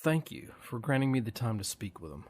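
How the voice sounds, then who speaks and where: A man's voice: mid-range voice, big pitch swings, brisk, background noise, lightly acted. A man acting a polite, slightly hesitant interviewer probing a killer in an amateur radio play. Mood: polite, awkward. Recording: roomy, distant-mic sound under loud music.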